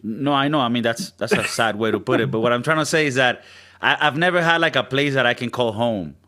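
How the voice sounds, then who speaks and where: A man's voice: medium pitch, a little fast, sharp tone. A man in his thirties with a Spanish accent, co-hosting a casual podcast, rambling, swearing and stumbling over restarts. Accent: Spanish-speaking. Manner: rambling, stammering restarts, swearing, mimics other people. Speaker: podcast co-host. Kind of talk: casual chat.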